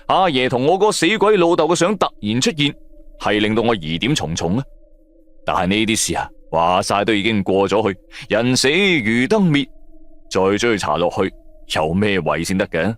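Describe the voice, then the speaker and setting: A man's voice: on the low side, sing-song, moderate pace. A man narrating a first-person audio drama in Cantonese, in a dramatic scripted storytelling style.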